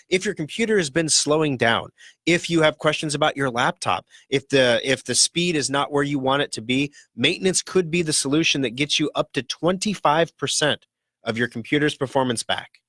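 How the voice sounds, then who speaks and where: A man hosting a casual talk radio show in a rambling, joking way, his voice rough and scratchy from a cold. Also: fairly high pitch, bright, forceful tone, no echo.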